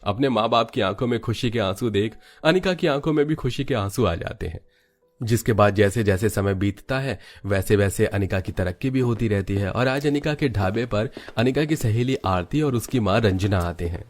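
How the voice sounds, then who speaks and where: A man's voice: lowish voice, normal speed. A man reading scripted narration for a cartoon story as its storyteller.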